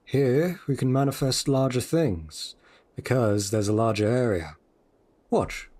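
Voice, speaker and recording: An actor playing a calm, assured, persuasive guide in a sci-fi audio drama, speaking in a low-key, coaxing way. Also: male voice, unhurried, close to an everyday voice. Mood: casually proud. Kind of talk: full-cast audio drama acting.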